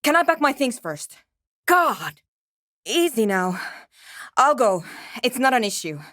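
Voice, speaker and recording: A woman playing a brisk, matter-of-fact programmer and radio tinkerer in a video game, speaking in dry, precise, curt technical phrases. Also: fairly high pitch, big pitch swings, bright, forceful tone, dry recording, full character voice. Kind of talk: video game character dialogue.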